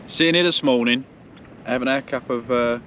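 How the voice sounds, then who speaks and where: A man's voice: mid-range voice, moderate pace, a bit bright. A man, chatting in a laid-back, colloquial way for a travel vlog outdoors. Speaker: travel vlogger. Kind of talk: casual chat. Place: outdoors on a windy clifftop. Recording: wind noise on camera mic, outdoors.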